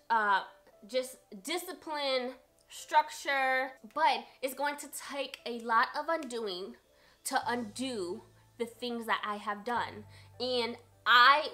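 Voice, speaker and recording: A woman's voice: high voice, sharp tone. A woman in her late twenties talking casually to her camera about her budget, earnest and repeating points for emphasis. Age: 20s. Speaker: budgeting vlogger.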